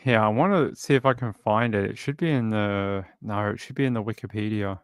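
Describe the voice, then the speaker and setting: A man's voice: on the low side, fairly quick, clear, resonant tone, dry recording. Australian man with a clean, dry voice. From Sydney, Australia. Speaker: livestream show host. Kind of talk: casual livestream chat, reading out viewer comments.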